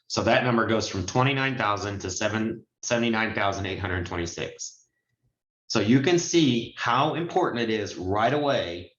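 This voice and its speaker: A man with a fairly low voice presents a training walkthrough on a group video call. He explains each step informally and talks straight to the learners. The sound is slightly dull, with a clearly roomy echo. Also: a bit bright. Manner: explanatory, step-by-step, addresses listeners directly. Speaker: notary trainer and signing agency presenter. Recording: muffled, roomy online call audio.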